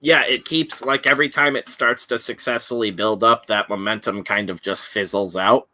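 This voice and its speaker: A man's voice: lowish voice, steady tone, normal speed, bright, forceful tone, no room sound. A man chatting casually about films as a live stream co-host, rambling and swearing freely. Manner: rambling, profane.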